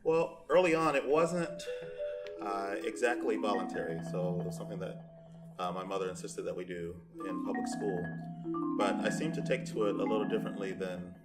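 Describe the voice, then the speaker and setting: A man's voice: low voice, moderate pace. A man who plays percussion, talking reflectively in a documentary interview. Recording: loud mallet percussion music underneath.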